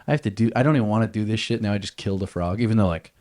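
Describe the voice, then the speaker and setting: Fast-talking young man, low voice. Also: a little mellow. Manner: loose, swearing, joking. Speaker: podcast co-host. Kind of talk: casual chat.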